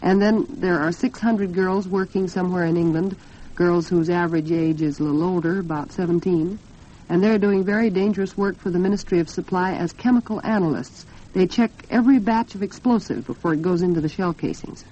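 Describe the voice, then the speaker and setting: A dull, band-limited 1940s radio recording carries an American woman's low voice. She talks as a program host, chatty and earnest, with a homely touch. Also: fairly quick, dark tone. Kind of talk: 1940s radio talk with scripted sponsor chat.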